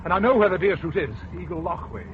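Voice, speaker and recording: A plain-spoken country inspector talks in a steady, slightly high voice. He sounds blunt and practical. The old radio play recording is dull and hissy. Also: fast. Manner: plain-spoken, matter-of-fact.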